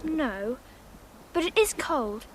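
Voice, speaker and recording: A small british girl, super high voice, sweet and earnest, radio play, bit of noise. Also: expressive, slow, low-quality recording. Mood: gentle, practical. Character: earnest, kind-hearted little British schoolgirl. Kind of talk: radio drama acting.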